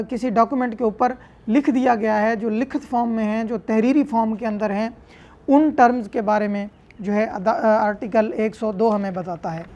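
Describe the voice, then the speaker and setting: The recording is dull and a little muffled. A woman with a Pakistani accent lectures on law in a fairly high voice. She is didactic, restating and unpacking each point for students. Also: a little mellow. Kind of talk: explanatory lecture, reading statute and explaining it.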